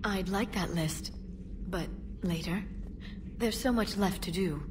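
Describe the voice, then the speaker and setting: A woman playing a composed, earnest alien scientist and information broker in a video game, speaking in a measured, serious way. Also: medium pitch, far from the mic, background noise, natural voice. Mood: tender.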